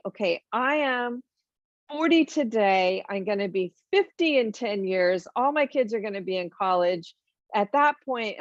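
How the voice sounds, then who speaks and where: A woman's voice: medium pitch, vocal fry, dry recording, dull audio. A woman hosting a finance webinar, talking informally and emphatically through numbered points with frequent ums and asides.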